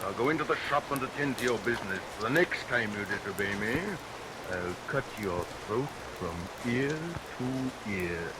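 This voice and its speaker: An actor playing a murderous, falsely sweet barber, unctuous and theatrical, in vintage radio melodrama style. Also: male voice, on the low side, animated, normal speed, very noisy, close to an everyday voice. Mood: angry, threatening. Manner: unctuous, mock-polite, theatrical. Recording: vintage radio recording under loud rain.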